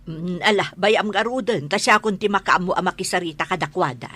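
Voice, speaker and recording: A woman's voice: on the low side, fairly quick, fairly bright tone, lightly acted. A woman playing a kindly, fussing older auntie in a radio drama. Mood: reassuring.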